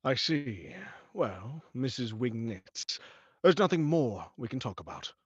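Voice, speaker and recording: Man acting curt gentleman, formal, dismissive, slow delivery, dry sound, 1940s radio drama. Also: medium pitch, expressive, slightly rough, no echo, dull audio. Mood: cool dismissal.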